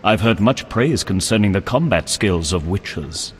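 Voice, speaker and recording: An earnest game knight: slightly husky voice, solemn courtly phrasing with expressive swings, heard in long reverb. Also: male voice, deep voice, moderate pace. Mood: courteous, eager. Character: earnest, pious, courteous male knight of an order. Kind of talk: video game character acting.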